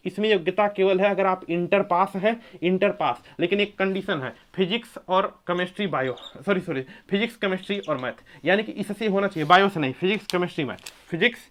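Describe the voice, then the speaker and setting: A man teaching an exam coaching class, emphatically repeating key points and putting quiz-like questions to his listeners. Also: high voice. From India. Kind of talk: coaching lecture explaining exam eligibility and syllabus.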